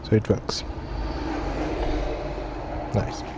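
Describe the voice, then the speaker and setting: Guy doing a casual diy product walkthrough, slow and low key, kinda hesitant, noisy vehicle sound behind him. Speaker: vlogger demonstrating a product. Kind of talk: casual unboxing and install walkthrough. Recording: clearly noisy, vehicle ambience.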